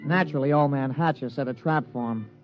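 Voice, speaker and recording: An actor playing a chatty, opinionated golf caddy in a vintage radio drama, with folksy, colloquial talk. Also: male voice, medium pitch, quick pace, loud music behind the voice, lightly acted. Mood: chatty, wry. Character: chatty, opinionated male golf caddy, plain-spoken storyteller. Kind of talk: 1950s radio drama narration and dialogue. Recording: muffled vintage radio recording.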